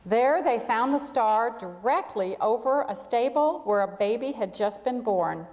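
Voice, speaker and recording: Female storybook reader for a church congregation, higher-pitched voice, long hall reverb. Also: animated, unhurried, darkish tone. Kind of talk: children's storybook read aloud to a congregation.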